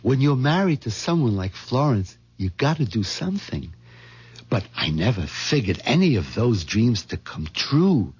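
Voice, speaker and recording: A man's voice: medium pitch, big pitch swings, normal speed, husky. A hen-pecked middle-aged husband in an old radio play. He is meek, hesitant and put-upon, a wistful dreamer with a mid-range voice. The recording sounds dull and aged. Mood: rueful, confiding. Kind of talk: 1970s radio drama acting with confiding narration.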